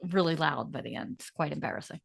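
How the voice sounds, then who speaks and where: A woman's voice: sits low, fast talker, gravelly, no echo. Bubbly podcast lady, giggles a lot, gushes, dry close mic. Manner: enthusiastic, giggly. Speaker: podcast co-host. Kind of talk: casual podcast chat.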